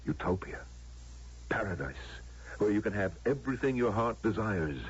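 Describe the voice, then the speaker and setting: An actor playing a commanding otherworldly tempter in an old-time radio drama, theatrical and insinuating. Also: male voice, lowish voice, lively intonation, normal speed, husky. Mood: seductive. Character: commanding otherworldly tempter luring a woman back to paradise. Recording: noisy muffled old radio recording.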